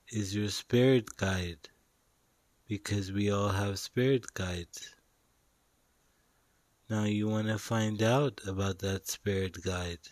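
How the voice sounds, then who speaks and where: Male meditation guide, fairly low pitch, very unhurried delivery, soothing and instructional, short spaced-out phrases. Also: darkish tone, no room sound.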